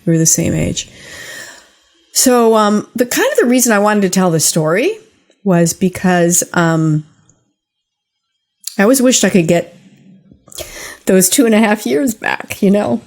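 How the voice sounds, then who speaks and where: A woman's voice: mid-range voice, animated, measured pace, long pauses, a little mellow. An older woman hosting a podcast, telling personal stories in a casual, conversational way straight to her listeners. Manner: conversational, talks directly to listeners. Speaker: podcast host.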